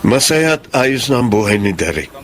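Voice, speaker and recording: A man's voice: medium pitch, very animated, normal speed, a bit bright. An actor playing a meek middle-aged ex-convict father working as a servant, deferential and hesitant, in a Tagalog radio drama. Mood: wistful.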